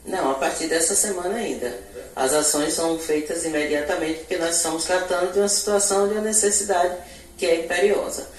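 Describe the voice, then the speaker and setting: Woman, middle-aged, Bahian accent, measured bureaucratic tone, handheld mic, echoey office. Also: on the low side, distant mic, a little hiss or hum. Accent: Brazilian Portuguese, Bahia. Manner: measured bureaucratic explanation, occasional self-corrections. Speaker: municipal education secretary. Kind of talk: formal interview answers. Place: indoor office in front of a logo backdrop. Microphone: handheld TV reporter mic. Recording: echoey room, voice a bit off-mic.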